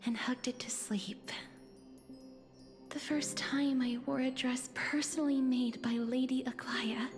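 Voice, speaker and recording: A woman playing a gentle, wistful young noblewoman in a video game, speaking softly and formally with a breathy tenderness. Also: sits high. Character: gentle, wistful, soft-spoken young noblewoman, quietly earnest. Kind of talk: video game voice acting, reflective monologue. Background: game music.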